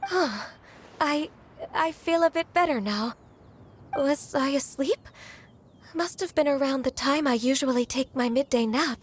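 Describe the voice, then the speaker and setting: A gentle, polite young woman official in a fantasy game. She talks softly and a little hesitantly, in a fairly high voice. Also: measured pace, slight background noise. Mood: drowsy. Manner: soft-spoken, hesitant and polite.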